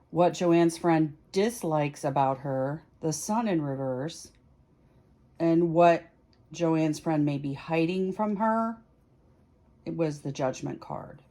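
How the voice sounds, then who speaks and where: A woman's voice: low voice, dark tone. A woman talking casually as a tarot reader, explaining things informally and thinking aloud as she goes. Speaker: tarot reader hosting a practice series. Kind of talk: casual explanatory vlog talk.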